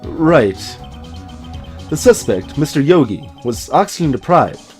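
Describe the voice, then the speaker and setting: Animated man reading out game dialogue. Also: fairly high pitch, unhurried, soft background music. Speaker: let's play narrator voicing game dialogue. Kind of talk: dramatic read-aloud of game script with joking asides.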